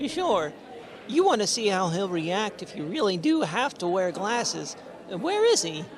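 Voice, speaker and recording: A woman's voice: medium pitch, very animated, unhurried. A woman playing an eager, gossipy teenage schoolboy in a radio comedy, with hesitant starts and exclamations. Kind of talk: old-time radio comedy acting.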